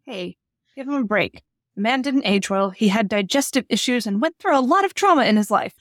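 Higher-voiced woman in a comic skit. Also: expressive, brisk, no room sound, studio quality. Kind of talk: scripted comedy radio skit dialogue.

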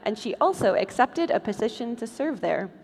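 A woman's voice: fairly high pitch, very animated, moderate pace, creaky, darkish tone. A woman host reading scripted narration as part of a live comedic stage show. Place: large convention hall, live stage. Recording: noisy hall with long reverb.

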